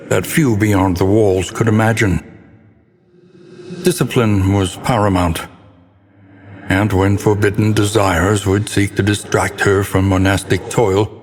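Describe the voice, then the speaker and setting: A very low-voiced, grave old narrator of dark gothic tales for a horror game. He delivers each phrase theatrically and with great deliberation. The voice rings with long reverb over audible background noise. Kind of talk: dramatic scripted storytelling narration.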